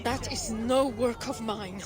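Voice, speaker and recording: Devoted, ambitious female Viking weaver, composed and pointed, fairly high voice, game drama over music. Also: steady tone, takes it slowly. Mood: determined. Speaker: video game character. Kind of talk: dramatic game cutscene acting. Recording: music behind the voice.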